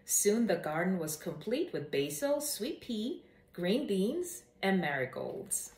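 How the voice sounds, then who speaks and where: A woman hosting a children's read-aloud and casual book review, speaking in an expressive way. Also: medium pitch, takes it slowly. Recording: echoey room.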